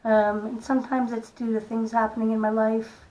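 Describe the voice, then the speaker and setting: Soft-spoken croaky woman. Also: fairly high pitch, little pitch movement, low-quality recording. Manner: soft-spoken, unhurried, stops and restarts gently. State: hoarse, croaky voice. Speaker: makeup vlogger. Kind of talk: casual makeup tutorial talk.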